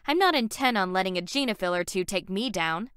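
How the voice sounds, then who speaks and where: A woman's voice: medium pitch, expressive, fairly quick, fairly bright tone, clear, resonant tone, dry recording, studio quality, natural voice. A woman voicing a stubborn, driven young female mechanic in a sci-fi visual novel. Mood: defiant.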